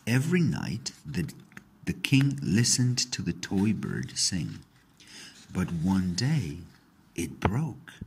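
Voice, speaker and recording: A man narrating a scripted fairy tale in a husky, gravelly voice, with measured, expressive storytelling. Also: low-pitched, a little hiss or hum, poor audio.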